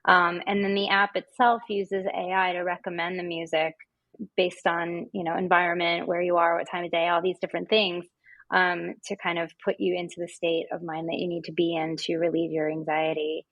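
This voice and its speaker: Enthusiastic lower-voiced woman, close and dry. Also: level tone, moderate pace, fairly bright tone, no room sound, muffled recording. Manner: enthusiastic, gushing. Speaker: podcast guest, wellness app representative. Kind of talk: casual conversational explanation.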